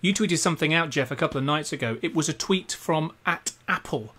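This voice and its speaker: A man's voice: on the high side, a little fast, pushed and bright, slight background noise. A man in his forties hosting a casual tech discussion from a home study on a studio microphone. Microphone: large condenser studio mic.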